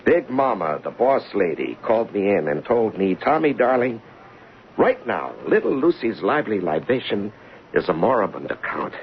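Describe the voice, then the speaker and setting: A man's voice: on the low side, very animated, normal speed. Embittered ad man, wildly swinging delivery. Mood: bitter. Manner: sarcastic, agitated, rhetorical questioning. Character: embittered, suspicious advertising man, husband unburdening to his doctor. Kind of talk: old-time radio drama acting. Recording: dull, noisy old radio recording.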